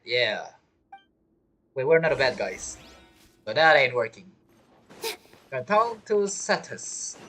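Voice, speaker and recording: Animated, playful game streamer. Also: medium pitch. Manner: playful, offhand reactions. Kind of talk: casual gaming commentary.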